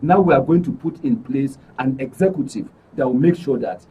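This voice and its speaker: A man's voice: medium pitch, lively intonation, normal speed, warm tone, slightly rough. A Nigerian man speaking earnestly and emphatically as a guest in a talk show interview. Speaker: actors' guild guest. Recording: distant, dull mic with faint noise.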